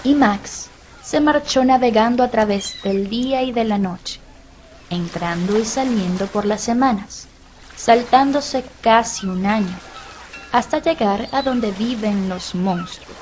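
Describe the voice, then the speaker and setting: A woman narrating a scripted children's story with dramatic pauses and drawn-out phrases. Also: fairly high pitch, a little mellow. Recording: faint background noise.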